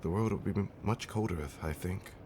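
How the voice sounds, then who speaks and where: A man playing a centuries-old vampire husband in an intimate comfort roleplay, tender and theatrically fussy, with genteel, old-fashioned wording and dramatic pauses. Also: low-pitched, dark tone, slight background noise, close to an everyday voice. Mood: playful affection. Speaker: audio roleplay performer.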